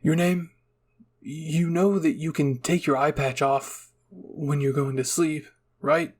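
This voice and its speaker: A man's voice: a little high, fairly even intonation, takes it slowly, a little hiss or hum. A man voicing a caring, earnest young boy in a fan-fiction story reading, coaxing and comforting a friend. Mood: concerned. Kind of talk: acted dialogue in a fan-fiction audio reading.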